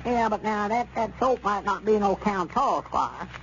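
High-voiced folksy old storekeeper. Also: male voice, animated, moderate pace, a bit bright. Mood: wary. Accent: rural Southern US. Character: folksy, stubborn, wary old country storekeeper. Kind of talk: 1930s radio comedy acting. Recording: dull, noisy old radio recording.

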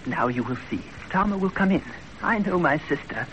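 A man's voice: sits high, animated, a little fast, fairly bright tone, dull audio, slight background noise. An actor playing a breezy, teasing young Japanese man in a 1930s radio drama, with a playful manner.

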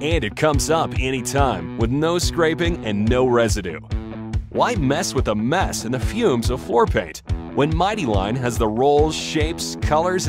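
A man reading a scripted commercial in an upbeat, salesy manner. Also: mid-range voice. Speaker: ad announcer. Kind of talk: scripted radio-style commercial read. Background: clear guitar music.

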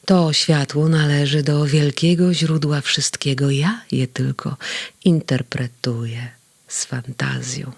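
A woman voicing the first star of the evening as a graceful female figure in a children's story, hushed and breathy. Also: low-pitched, steady tone, slow pace, no room sound, heavily acted. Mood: musing. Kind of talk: storybook reading.

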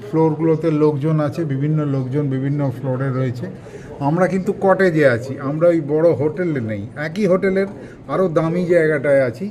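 A man's voice: a little high, darkish tone, slight background noise. An older man casually narrating a walkthrough of a hotel room and grounds while filming on a phone, talking to viewers as friends. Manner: chatty, addresses viewers as friends. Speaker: travel vlogger showing a hotel. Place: hotel room and garden, indoors and outdoors.

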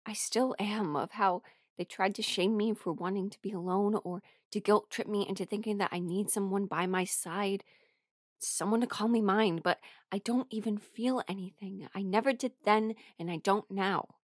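A woman's voice: mid-range voice, normal speed, no echo, clean studio sound, close to an everyday voice. A woman acting in an audio roleplay as a defensive, emotional musician rejecting her bandmate's love. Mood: bitter, weary. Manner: emotional, exasperated, swearing. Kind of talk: audio roleplay drama monologue.

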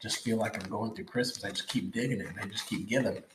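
Chatty fishing host, echoey room. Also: male voice, fairly low pitch, fairly even intonation, quick pace, heavy background noise. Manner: enthusiastic, chatty, repeats words. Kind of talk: casual product unboxing. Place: indoor room with logo backdrop.

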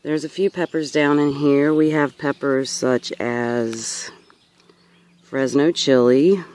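A woman's voice: a bass voice, steady tone, slow pace, a little mellow. A woman in her thirties giving a casual, rambling vlog-style tour as a gardener, recorded outdoors on a handheld camera. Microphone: handheld camera or phone.

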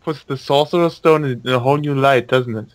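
A man chatting with friends, making quick joking remarks about a story being read. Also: medium pitch, no echo. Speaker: friend listening in. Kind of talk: casual chat over voice chat.

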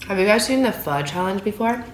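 A woman's voice: mid-range voice, a little fast, reverberant, a little hiss or hum. A woman in her thirties from San Francisco, chatting casually in a food vlog while eating at her dining table, recorded on a phone. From San Francisco, USA. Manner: relaxed, chatty, talking between bites of food. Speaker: food vlogger. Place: small room at home, indoors at a dining table. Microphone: phone camera on the table.

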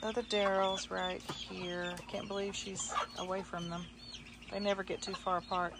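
A woman's voice: mid-range voice, level tone, moderate pace, noisy background. Chatty older woman among clucking hens. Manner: chatty, sing-song greetings to her hens. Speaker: backyard chicken keeper, vlogger. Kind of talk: casual walk-through chat. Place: outdoors at a backyard chicken coop. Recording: outdoor recording with clear clucking hens. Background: chickens clucking.

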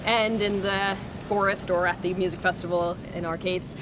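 A woman's voice: lowish voice, fairly even intonation, normal speed. A woman in her thirties giving an informal interview as a director, outdoors by a parking area. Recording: clear outdoor traffic noise.

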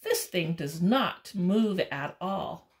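A woman's voice: lowish voice, animated, slow. A woman voicing a sensible goose getting ready to fly south, reading from a children's story. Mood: storybook. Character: chilly, sensible goose about to migrate.

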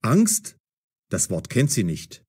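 A man narrating a scripted story for language learners in a deliberate, expressive, clearly enunciated way. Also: on the low side.

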